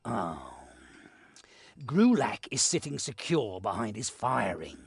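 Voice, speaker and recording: A man playing a shrewd, commanding war leader in a fantasy game, measured and authoritative. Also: on the low side, sing-song, creaky, breathy edge, no room sound, clean studio sound, heavily acted. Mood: resolute scheming.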